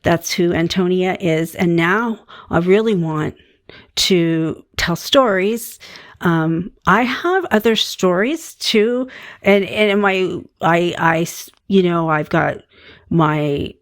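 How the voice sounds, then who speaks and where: Middle-aged woman, calming voice, halting casual monologue with many fillers, very slow. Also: on the low side, dry recording, clean studio sound. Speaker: meditation channel host.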